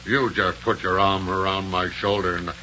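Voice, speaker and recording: A dull, hissy old radio recording of a bumbling rocket pilot with a bright, forceful voice. He stammers in folksy, ungrammatical speech, excitable but good-hearted, in 1930s serial style. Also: low-pitched, moderate pace. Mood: flustered.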